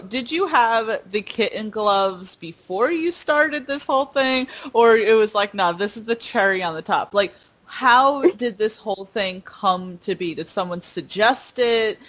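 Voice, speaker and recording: A woman's voice: medium pitch, fairly bright tone. Giggly young woman interviewer. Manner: bubbly, giggly, asks questions in quick bursts. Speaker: interviewer, host. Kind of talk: casual interview chat.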